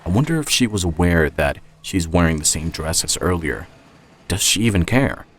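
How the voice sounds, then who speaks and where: A man's voice: sits low, animated, moderate pace. A man reading a scripted first-person dramatic story as its author and narrator. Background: music.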